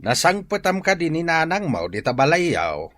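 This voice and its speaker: Casting: jokey, frank Ilocano everyman for radio comedy, bright forceful voice, swooping pitch, boastful. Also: big pitch swings, normal speed, no room sound, studio quality. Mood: blunt.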